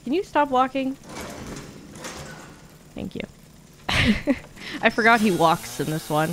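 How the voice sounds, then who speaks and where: A woman's voice: on the high side, very animated, takes it slowly, fairly bright tone, a little hiss or hum. A young woman giving casual, playful live commentary while streaming a video game, with mock exasperation. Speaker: streamer, speedrunner.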